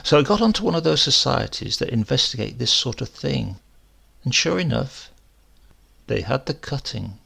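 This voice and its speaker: A man reading a first-person horror story aloud in a dramatic, confiding and expressive manner. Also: medium pitch, gravelly, slightly rough. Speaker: story reader.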